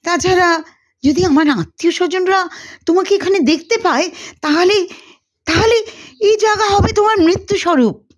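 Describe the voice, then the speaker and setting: A woman's voice: very high-pitched, moderate pace, long pauses, voice pushed up. A woman voicing a young female student in a storybook, dramatic and easily exasperated, with an emphatic, exclaiming manner. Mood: anxious, pleading. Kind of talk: storybook character dialogue.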